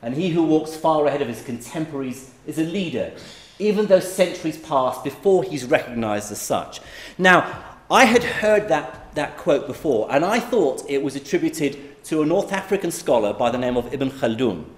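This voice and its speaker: A man's voice: fairly high pitch, expressive, moderate pace. A middle-aged man from Iraq giving a conversational public lecture, with frequent fillers and restarts. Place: lecture hall. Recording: echoey lecture hall.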